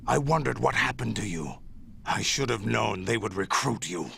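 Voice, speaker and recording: An actor playing a cold, commanding villain and former warlord who speaks slowly and deliberately in a video game cutscene. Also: male voice, on the low side, expressive, vocal fry, bright, forceful tone, husky, full character voice. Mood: imperious nostalgia. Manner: slow, deliberate, imperious.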